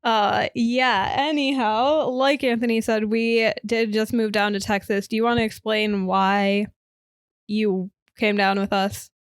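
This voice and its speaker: A woman's voice: medium pitch, steady tone, measured pace, clear, resonant tone, no echo, clean studio sound. A young woman from Michigan co-hosting a podcast, chatting casually with lots of fillers. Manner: chatty, recounting with many fillers. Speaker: podcast co-host. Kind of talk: casual chat.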